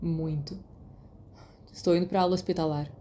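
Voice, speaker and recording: Teen boy, acted by a woman narrator: surly, hot-tempered, mellow timbre, audiobook, faint noise behind. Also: lowish voice, fairly even intonation, moderate pace, lightly acted. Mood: sullen. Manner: snappish, defiant. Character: hot-tempered, sullen teenage schoolboy. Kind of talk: audiobook character voice.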